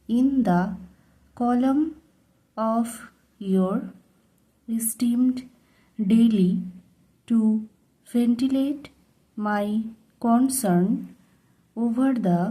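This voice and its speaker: Very slow, mellow woman tutor. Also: a little high, poor audio. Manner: deliberate word-by-word phrasing. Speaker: English tutor. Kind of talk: slow dictation-style bilingual reading of a model letter.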